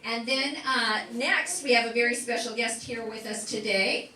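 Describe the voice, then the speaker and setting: A woman's voice: on the high side, moderate pace. A woman informally introducing a live show to an audience as its host. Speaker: instructor hosting a live show. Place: room before a live audience. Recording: echoey room, distant pickup, audience noise.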